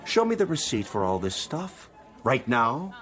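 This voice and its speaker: A gruff police officer who barks orders in a brusque, commanding tone. His pitch moves with some life, and faint music sits behind the radio drama. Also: sing-song, unhurried, fairly bright tone. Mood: demanding.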